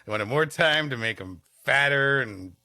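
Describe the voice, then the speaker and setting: Male co-host for casual comic chat, expressive pitch, crisp dry close recording. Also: moderate pace, no echo. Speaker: podcast co-host. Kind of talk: casual comedic banter.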